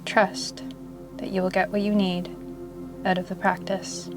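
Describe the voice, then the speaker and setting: A woman with a mid-range voice leads a guided meditation. Her delivery is soothing and measured, staying very level in pitch with generous pauses between phrases. Music plays clearly behind her. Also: level tone. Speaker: yoga nidra meditation guide.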